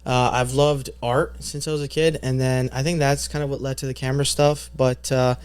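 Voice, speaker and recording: A man's voice: medium pitch, flat delivery, brisk, smooth. Laid-back young man telling stories. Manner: laid-back storytelling with lots of fillers and slang. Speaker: podcast guest, marketing agency owner. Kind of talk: casual podcast chat.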